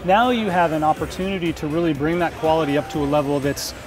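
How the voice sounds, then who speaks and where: A man with a somewhat high voice talks as a product representative in an informal interview. He is agreeable and explains things in detail. Music is clearly heard behind him at the trade show booth. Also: quick pace. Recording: music playing in the background.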